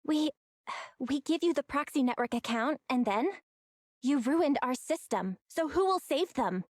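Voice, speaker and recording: High-voiced shrewd young heroine. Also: female voice, lively intonation, unhurried, no echo, clean studio sound. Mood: composed, wary. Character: young sister, shrewd, composed, plucky underground operator. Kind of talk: English video game voice acting.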